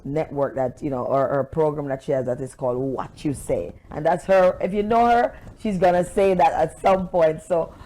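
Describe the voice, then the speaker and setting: A woman's voice: deep voice, normal speed, few pauses, dark tone, poor audio. A woman hosting a talk show, interviewing a guest and emphatically repeating words for emphasis.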